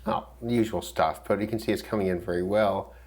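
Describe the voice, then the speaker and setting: A man's voice: low-pitched, fairly even intonation, brisk. A man giving casual, unscripted commentary as a radio hobbyist, hesitant and thinking aloud as he goes. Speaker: shortwave radio hobbyist demonstrating a receiver. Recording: clearly noisy, loud radio static.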